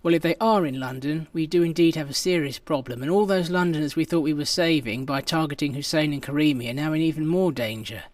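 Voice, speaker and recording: A man's voice: a little high, fast talker, few pauses, no room sound, close to an everyday voice. A man playing a grave, formal government official in a radio drama. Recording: distant from the mic, dry.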